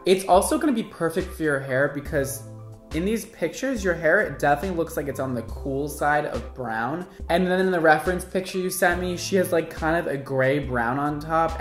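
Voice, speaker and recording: A man in his twenties giving casual, exclamatory reaction commentary to camera in a campy, animated manner. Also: on the high side, roomy sound. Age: 20s. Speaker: hairdresser and video host. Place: indoor studio with paper backdrop.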